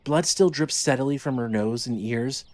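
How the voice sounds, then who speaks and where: A man reading scripted dramatic fiction as narrator, in a measured, descriptive storytelling manner. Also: mid-range voice, a little mellow.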